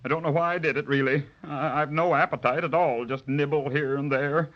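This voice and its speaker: An actor playing a woeful, self-pitying sick whale who whines and sighs, in 1930s radio style. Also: male voice, fairly high pitch, fairly even intonation, a little fast, lightly acted. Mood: miserable. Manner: whining, woeful, sighing. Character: mournful, self-pitying, hypochondriac male polka dot whale. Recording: muffled 1930s radio recording.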